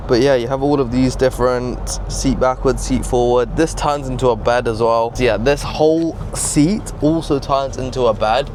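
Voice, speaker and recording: A man's voice: medium pitch, steady tone, normal speed, hardly any pauses, darkish tone. Young guy in his twenties vlogging, chatty, talking right to viewers, lots of street noise. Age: 20s. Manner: chatty, talks straight to viewers. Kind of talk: casual vlog chat. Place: back seat of a car, then a busy mall.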